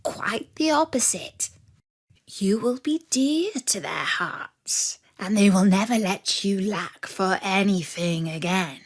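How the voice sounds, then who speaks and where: A woman voicing a sly, scheming wolf in a fairy tale, coaxing in a slow, breathy, conspiratorial whisper. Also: medium pitch, lively intonation. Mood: sly, reassuring. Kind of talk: fairy-tale storybook reading.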